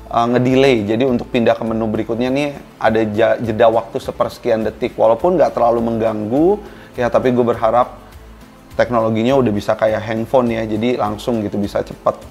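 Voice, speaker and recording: Male product-review host, mid-pitched, informal and colloquial, talks straight to the audience, light music underneath. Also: a little mellow. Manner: informal and colloquial, addresses viewers directly. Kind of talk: casual product review presentation.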